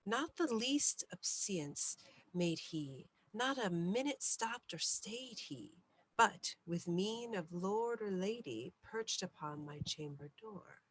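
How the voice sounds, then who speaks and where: A woman storyteller giving a deliberate, theatrical bedtime reading of gothic poetry, sometimes hushed. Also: medium pitch, animated, husky, no room sound, poor audio.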